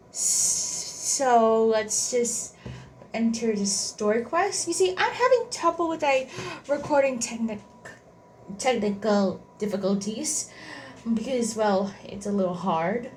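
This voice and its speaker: A woman's voice: a little high, lively intonation, slow pace, slight background noise. A woman hosting a gaming channel, giving casual commentary on her playthrough. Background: game music.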